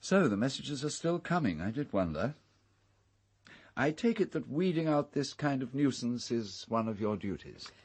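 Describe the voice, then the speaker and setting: An English actor in a radio drama playing an urbane, fastidious gentleman host, wry and formal in manner. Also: medium pitch, sing-song, slightly rough. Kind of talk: British radio drama acting.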